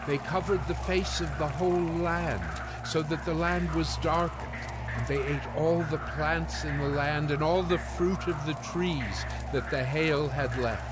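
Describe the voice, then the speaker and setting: A man's voice: fairly high pitch, background noise. A man narrating a scripted scripture reading.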